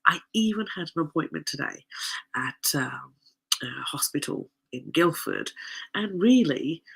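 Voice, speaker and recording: A woman with a nasal, gravelly voice talks slowly and chattily to her listeners. Her pitch rises and falls freely, and her tone is slightly husky, with plenty of fillers and restarts. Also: fairly bright tone. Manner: chatty, rambling, frequent fillers and laughs. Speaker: podcast host. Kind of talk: casual solo talk.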